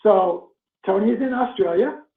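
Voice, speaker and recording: High-voiced upbeat man hosting a webinar. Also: normal speed. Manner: upbeat, chatty, enthusiastic. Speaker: webinar host. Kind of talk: casual online webinar hosting. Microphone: video call. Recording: tinny phone-like line, echoey room.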